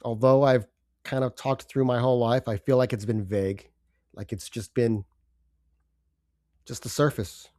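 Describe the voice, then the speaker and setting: A man's voice: medium pitch, steady tone, dark tone, no room sound, clean studio sound. Congested, subdued older man. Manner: halting, subdued, with pauses and fillers. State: congested from a cold. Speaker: podcast host. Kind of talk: personal confessional monologue.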